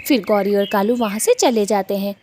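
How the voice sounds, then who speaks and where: A woman's voice: medium pitch, a little fast, darkish tone, slight background noise. A woman giving scripted narration for a children's cartoon story, as the storyteller.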